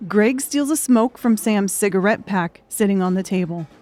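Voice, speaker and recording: A woman's voice: medium pitch, lively intonation, normal speed, warm tone. A woman reading scripted fiction narration as an audiobook narrator.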